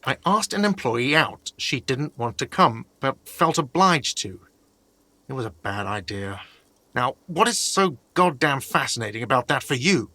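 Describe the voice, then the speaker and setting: An actor playing an exasperated, sarcastic hostel manager in a video game, with an irritated, condescending way of talking. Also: male voice, medium pitch, sing-song, measured pace, pushed and bright, dry recording, heavily acted. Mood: sullen, defensive.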